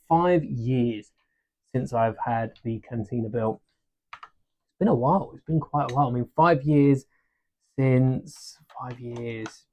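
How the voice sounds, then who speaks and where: Chatty man thinking aloud while building. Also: mid-range voice. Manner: relaxed, chatty, self-deprecating, thinks aloud. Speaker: livestream host building a brick set. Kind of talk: casual live-stream chat. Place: home desk during a livestream. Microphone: desk microphone.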